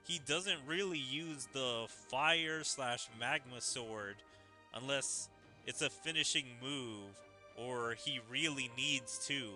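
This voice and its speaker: A man's voice: mid-range voice, pushed and bright. A man giving casual, musing commentary on a video game as a streamer. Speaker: gaming streamer. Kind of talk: let's play commentary, reading game dialogue aloud.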